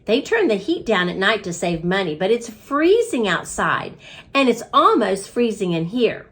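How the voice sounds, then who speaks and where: A woman's voice: medium pitch, big pitch swings, moderate pace, a bit bright. Woman putting on a hearty, showy janitor man. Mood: chummy. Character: cheery dramatic male janitor, hearty and chummy with classroom pets.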